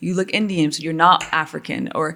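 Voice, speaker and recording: A woman's voice: deep voice, steady tone, fast, vocal fry, pushed and bright. A woman talking as a podcast guest in casual interview chat, earnest and reflective, with frequent fillers and restarts. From United States.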